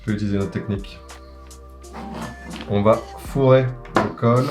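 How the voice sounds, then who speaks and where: A man's voice: on the low side, steady tone, normal speed, over music. A man co-hosting a do-it-yourself video, clowning around and teasing in casual banter. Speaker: co-host. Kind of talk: joking banter.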